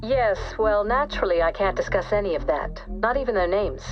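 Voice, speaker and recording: An actress playing a guarded, self-assured psychologist and author who answers deliberately in a video game TV interview. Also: female voice, mid-range voice, animated, lo-fi sound, music in the background, close to an everyday voice.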